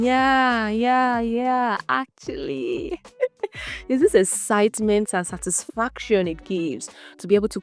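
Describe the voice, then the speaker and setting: A young woman with a Nigerian Igbo accent hosting a solo podcast, thinking out loud in an unscripted, chatty way and laughing often. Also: mid-range voice. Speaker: podcast host.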